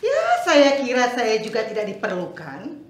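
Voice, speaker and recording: Casting: self-important, combative female schemer, played by a woman on stage, highish and piercing, reverberant room. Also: big pitch swings, moderate pace. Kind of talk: theatrical dramatic reading. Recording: echoey room.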